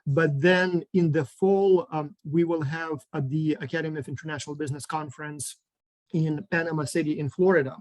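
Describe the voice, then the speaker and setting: A man's voice: a little high, fairly even intonation, brisk, a little mellow, no echo. A man from Ukraine chatting casually on a video call, hesitant with many ums and restarts. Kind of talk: casual online group chat.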